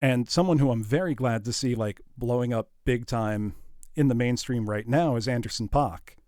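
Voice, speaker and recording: A man's voice: medium pitch, moderate pace, a little mellow, no room sound, clean studio sound. A man hosting a livestream, chatting informally and enthusiastically while musing aloud. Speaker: livestream host. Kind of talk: casual livestream chat.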